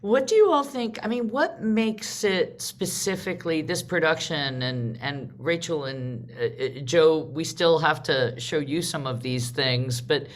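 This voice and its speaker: A woman's voice: sits low, unhurried, few pauses. A woman hosting a live online panel, talking conversationally and often interrupting herself as she goes. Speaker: host and artistic director leading a panel. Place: video call.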